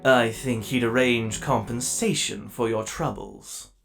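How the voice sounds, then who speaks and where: A man voicing a haughty, scheming robot villain held prisoner, dismissive and sardonic, in an animated comic dub. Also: mid-range voice, measured pace, creaky, soft background music. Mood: sly.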